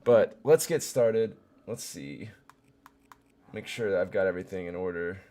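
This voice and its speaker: A young man with a hoarse voice, worn raspy from shouting, talks loosely to his viewers as he streams. He rambles in a relaxed way, full of ums and likes, and stops now and then to greet people by name. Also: low-pitched, a little slow. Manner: loose and chatty, many fillers, greets viewers. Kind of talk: casual gaming livestream chat.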